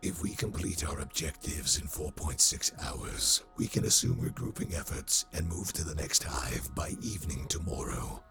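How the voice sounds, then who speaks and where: A cold, calculating adjutant in a sci-fi war drama. His voice is extremely deep and creaky, his delivery formal, detached and exact. Music swells behind him. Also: moderate pace, few pauses. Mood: clinical briefing. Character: coldly analytical military adjutant, clinical and emotionless man.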